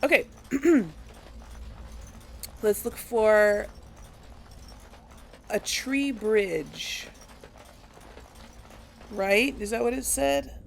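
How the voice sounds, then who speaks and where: A woman's voice: on the high side, drawn-out words, creaky, pauses often, a little hiss or hum. A woman hosting a casual let's play, thinking aloud and chuckling often. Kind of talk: casual gameplay commentary.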